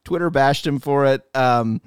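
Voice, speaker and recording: A man's voice: medium pitch, normal speed, clear, resonant tone, dry recording, clean studio sound. A middle-aged man co-hosting a podcast, chatting casually and emphatically about sports and culture. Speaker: podcast co-host.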